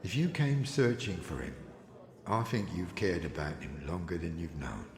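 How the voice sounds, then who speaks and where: A man playing a gentle, frank confidant in an audiobook, earnest in manner. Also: deep voice, animated, normal speed, vocal fry. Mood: gentle candor. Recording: echoey hall, crowd noise behind.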